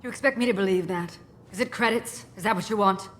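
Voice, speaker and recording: A woman's voice: medium pitch, fast, a bit bright, a little hiss or hum, natural voice. A woman acting as a wary, bitter crime boss in a video game, haughty and sardonic in a clipped way. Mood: tense bargaining.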